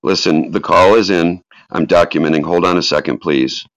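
A man's voice: sits low, fairly even intonation, moderate pace, dry recording, natural voice. A man voicing an earnest, flustered emergency phone operator, urgent and repetitive, in a dramatic reading. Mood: anxious, soothing.

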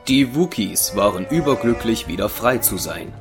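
A man's voice: on the low side, expressive, normal speed. A man narrating an audio drama as a scripted storyteller. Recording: music behind the voice.

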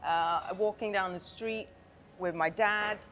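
A woman's voice: mid-range voice, steady tone, slow, fairly bright tone. A woman in her thirties chatting frankly as a guest on a casual interview podcast, often laughing. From Thailand and Hong Kong. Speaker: guest artist. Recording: faint background noise.

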